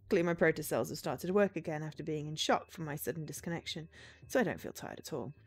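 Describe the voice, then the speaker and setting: A woman's voice: deep voice, fast. A woman streamer reading visual novel narration aloud, with game music behind her.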